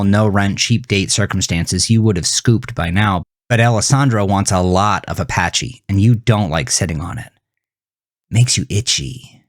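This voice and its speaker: A man reading his own horror story as a dramatic, suspenseful second-person narration with drawn-out emphasis. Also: sits low, no room sound, clean studio sound. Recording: music behind the voice. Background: music.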